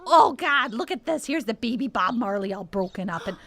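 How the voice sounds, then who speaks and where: A woman's voice: a little high, animated, brisk, gravelly, bright, forceful tone, heavily acted. A woman playing a plain-spoken, grumbling ship worker in an improvised audio drama, folksy and exasperated. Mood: dismayed, grumbling.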